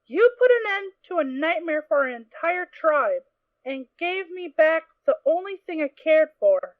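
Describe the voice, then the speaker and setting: Casting: a woman as a brusque unicorn mare guard, high voice, dry lo-fi sound. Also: sing-song, measured pace, no echo, heavily acted. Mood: tender. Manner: brusque, snappish. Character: gruff unicorn mare guard, tough, secretly soft. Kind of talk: fan audio drama reading.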